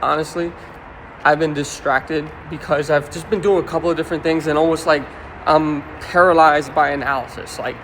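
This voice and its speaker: A man's voice: on the high side, little pitch movement, moderate pace, a bit bright, rough recording. A young man talking to camera from his car on his way to lunch, rambling and reflective, restarting his sentences often. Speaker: vlogger. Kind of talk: casual talking-to-camera vlog. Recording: faint car noise behind.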